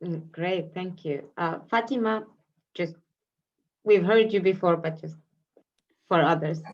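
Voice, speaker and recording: Slow-spoken woman moderating, dull audio. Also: on the low side. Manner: brief, friendly prompts. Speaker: seminar moderator. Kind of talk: calling on participants in an online seminar. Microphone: video call.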